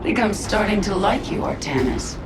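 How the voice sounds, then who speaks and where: A woman's voice: mid-range voice, animated, normal speed, very noisy, natural voice. An actress voicing a confident, sardonic alien queen in a video game, with a dry, casual delivery. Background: loud game music.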